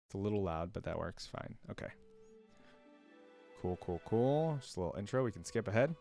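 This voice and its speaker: A man's voice: on the low side, animated, a little fast, creaky, dark tone, soft background music. A man hosting a reaction video, giving casual, rambling, conversational commentary.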